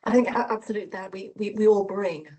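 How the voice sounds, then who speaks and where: A woman moderating a panel discussion, asking questions in a measured way with small restarts. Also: mid-range voice, fairly even intonation, dark tone.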